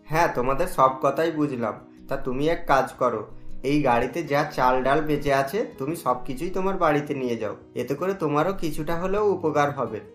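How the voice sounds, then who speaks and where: A man's voice: fairly high pitch, moderate pace, fairly bright tone, soft background music, close to an everyday voice. A man voicing a kindly, well-off village man who speaks in a reassuring, generous way, recorded in an echoey room.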